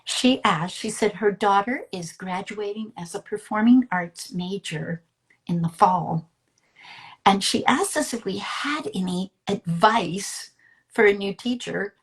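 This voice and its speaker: A woman's voice: medium pitch, animated, unhurried, poor audio. Earnest woman telling personal stories in conversation. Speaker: interviewer and storyteller who works with teens. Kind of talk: conversational interview, personal anecdotes.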